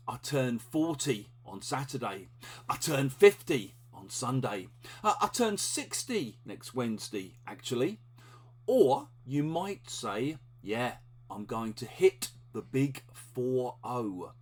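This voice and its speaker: Slow, animated teacher, man. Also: medium pitch, husky. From England. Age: middle-aged. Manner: relaxed, conversational, acts out example dialogues. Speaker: English teacher. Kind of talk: explaining vocabulary to learners.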